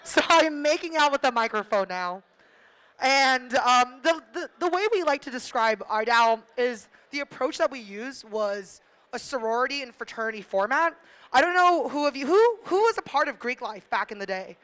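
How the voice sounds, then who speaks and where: A woman's voice: sits high, animated, normal speed, a bit bright, poor audio. A woman speaking as a panelist on stage, informal, playful and slangy with the audience. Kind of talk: informal introduction and explanation to audience. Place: live stage event. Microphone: handheld stage microphone.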